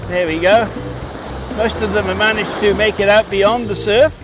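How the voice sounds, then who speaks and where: A man from Wales with a Welsh accent, chatting excitedly to his livestream viewers while commenting on a race at a surf beach. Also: sits high, normal speed, music in the background, low-quality recording. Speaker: livestream host. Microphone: phone mic held in hand.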